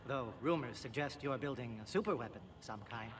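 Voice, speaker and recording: A man playing a brisk amphibian alien military officer in a video game, speaking in a clipped, formal, dry way. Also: medium pitch, lightly acted. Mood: brisk, wry. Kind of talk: video game cutscene acting. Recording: faint background noise in game capture.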